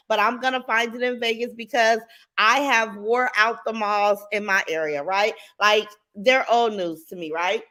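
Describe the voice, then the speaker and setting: A woman hosting a casual livestream reaction, greeting viewers one by one and calling them baby and sis, her voice stuffy and congested from a cold. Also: fairly high pitch. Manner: warm sing-song greetings, calls viewers baby and sis.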